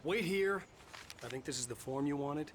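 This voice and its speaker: A man's voice: fairly high pitch, animated, moderate pace, a little hiss or hum, close to an everyday voice. A man playing a tense, stubborn, desperate husband in a video game, curt and insistent. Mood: businesslike.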